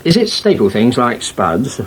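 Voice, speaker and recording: An actor with an English accent playing an affable, slightly vague farmer and husband in a radio soap, hesitant and polite. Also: male voice, mid-range voice, very animated, a little slow. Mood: businesslike, polite. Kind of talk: naturalistic British radio soap acting. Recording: noisy old radio broadcast archive.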